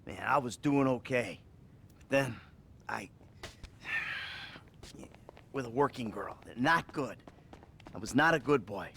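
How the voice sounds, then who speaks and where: Video game role: middle-aged American crook in therapy, sighing, self-loathing and wry, with fry in the voice and lively intonation. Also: male voice, medium pitch, slow pace, long pauses, a little hiss or hum, full character voice. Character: troubled middle-aged male criminal confessing to his therapist. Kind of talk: naturalistic video game acting.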